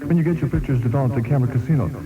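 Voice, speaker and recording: A man's voice: mid-range voice, racing. A man reading a scripted radio commercial. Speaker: commercial announcer. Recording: dull radio aircheck with music.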